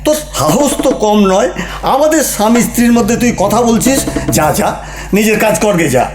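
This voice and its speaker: A high male voice of an actor as a blustering husband in a comedy play. He splutters defensively and indignantly. The room echoes and music plays clearly behind him. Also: sing-song, brisk. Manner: spluttering, indignant, repeats words. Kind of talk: Bengali audio comedy play acting.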